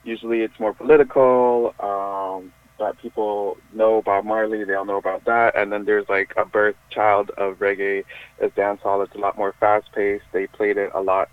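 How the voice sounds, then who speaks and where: Low-voiced man on a tinny phone line. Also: level tone, normal speed, clean tone, dry recording. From Jamaica. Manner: loose and chatty, lots of "like" and restarts. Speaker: musician guest. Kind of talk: casual interview chat.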